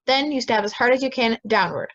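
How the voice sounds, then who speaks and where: Impatient, dismissive juror, played by a woman, fairly high voice, dull home-recorded audio drama. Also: fairly quick, fairly bright tone. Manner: curt, dismissive. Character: stubborn, impatient juror sure of guilt. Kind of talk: amateur audio drama acting.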